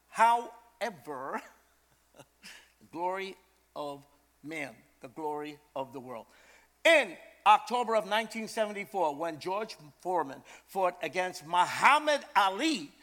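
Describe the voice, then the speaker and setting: High-voiced older New man preaching. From Brooklyn, New York, USA. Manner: animated, emphatic, repeats phrases for effect. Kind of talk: storytelling sermon.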